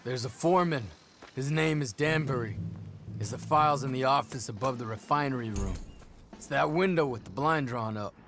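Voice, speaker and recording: An actor playing a chief's young son who is curt and distrustful. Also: male voice, mid-range voice, normal speed, fairly bright tone, a little hiss or hum, natural voice.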